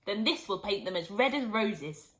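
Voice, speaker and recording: A woman's voice: medium pitch, animated, normal speed, a bit bright, natural voice. A woman voicing a mischievous young boy in a children's storybook reading. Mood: sly.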